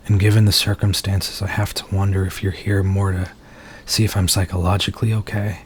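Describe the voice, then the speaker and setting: Guy doing a soft-spoken nervous male werewolf for asmr, hesitant and earnest, pretty even tone, bit of background noise. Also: low voice, natural voice. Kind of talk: ASMR roleplay, intimate dramatic monologue.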